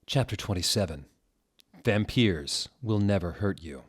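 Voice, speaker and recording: A man narrating fan fiction as a theatrical dramatic reading, with deliberate, mocking delivery. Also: deep voice, animated.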